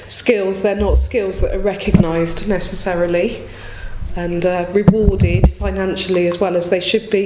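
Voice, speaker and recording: A woman explaining her work as an interview guest, informally, recorded in an office. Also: mid-range voice. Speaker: town coordinator, interview guest. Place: indoor office. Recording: roomy office with faint noise.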